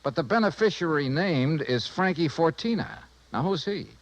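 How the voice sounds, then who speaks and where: An actor playing a cocksure American insurance investigator in 1950s radio style, wisecracking and narrating in brisk, clipped phrases. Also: male voice, fairly high pitch, lively intonation, no room sound, natural voice, low-quality recording. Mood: brisk, businesslike. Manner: brisk, wisecracking, clipped expense-report delivery. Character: wry, cocksure male freelance insurance investigator. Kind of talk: 1950s radio detective acting and first-person narration. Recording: vintage radio broadcast with music.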